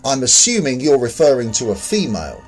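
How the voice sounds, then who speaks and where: A man's voice: mid-range voice, wildly swooping pitch, a little fast, soft background music. A man voicing a detached, curious alien visitor in a story, formal and literal in manner. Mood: tentative.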